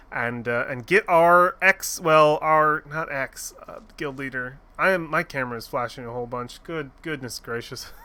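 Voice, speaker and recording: Bright-voiced man rambling over gameplay. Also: on the high side. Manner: rambling, filler-heavy, joking, swears casually. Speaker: streamer. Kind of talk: casual let's-play commentary.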